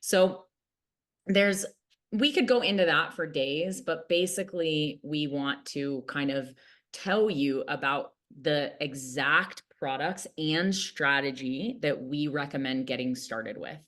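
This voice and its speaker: A woman in her late thirties with a notably low voice talks through a product pitch. She sounds upbeat and earnest, and her delivery is loose and conversational, with casual fillers scattered through her sentences. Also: slow pace, fairly bright tone. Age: late 30s. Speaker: product distributor and mentor.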